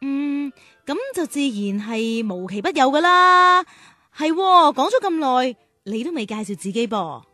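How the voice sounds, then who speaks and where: A woman playing a patient class teacher, gently chiding and explaining things in a children's radio drama. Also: high-pitched, very animated, unhurried, no echo, faint music behind, heavily acted. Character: patient young woman class teacher guiding cartoon calves.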